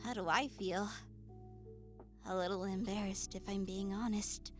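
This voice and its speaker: Shy, slow-talking goblin girl, played by a woman. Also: medium pitch, fairly even intonation, close to an everyday voice. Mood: shy, easing. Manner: hesitant, stammering, soft-spoken, earnest. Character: shy, nerdy, bashful young goblin woman on a date. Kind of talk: scripted romantic audio roleplay spoken to the listener. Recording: music behind the voice. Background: soft music.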